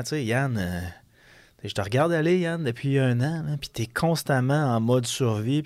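A man's voice: mid-range voice, darkish tone, dry recording. Reflective Quebec-accented male host. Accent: Quebec French. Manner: reflective, conversational. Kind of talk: interview conversation.

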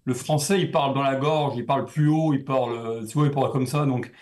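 A man's voice: medium pitch, steady tone, fairly quick, a little mellow. A young man from France, a comedian guest, speaking French with a slight Québécois lean, talking animatedly and often repeating words as he thinks. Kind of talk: casual interview chat.

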